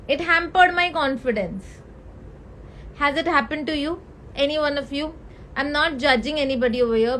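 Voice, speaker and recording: A woman with an Indian accent hosting a live talk on mental health, speaking earnestly and mixing English with Hindi. Her voice is high and youthful, the kind others call a baby voice. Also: fairly bright tone, low-quality recording. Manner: switches between English and Hindi mid-sentence; earnest, asks the audience questions. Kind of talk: casual talk to a live audience.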